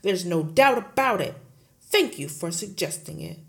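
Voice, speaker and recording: A woman voicing a huge, bullying headmistress who shouts, barks and sneers at everyone. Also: lowish voice, very animated, moderate pace. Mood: blustering. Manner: shouting, barking, scornful.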